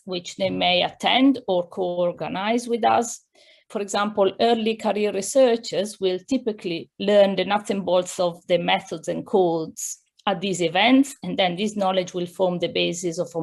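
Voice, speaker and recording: Italian-accented woman with a gravelly voice. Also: mid-range voice. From Italy. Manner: careful, with many fillers and restarts. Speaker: director of a computing science centre, guest. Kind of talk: interview over video call. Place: home room. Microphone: video call.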